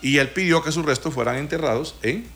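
A man's voice: fairly high pitch, fairly quick, creaky, a bit bright, slightly rough, faint music behind. A man from Honduras with a Honduran Spanish accent, hosting a casual radio talk show and telling stories with many restarts and fillers. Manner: rambling, storytelling with frequent restarts and fillers.

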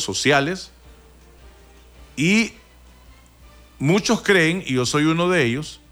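A Honduran man holds forth on his radio talk show, rambling and restarting as he explains things at length. His voice is bright and forceful, with faint music playing underneath. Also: fairly high pitch. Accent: Honduran Spanish. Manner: rambling, storytelling with frequent restarts and fillers.

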